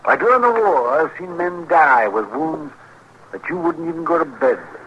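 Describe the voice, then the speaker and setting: A man's voice: a little high, normal speed, muffled recording. A man playing a fussy, irascible, sardonic frontier doctor in a radio western, with a testy manner. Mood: wry, reflective. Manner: testy, animated. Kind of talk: old-time radio western acting. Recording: noisy old radio recording.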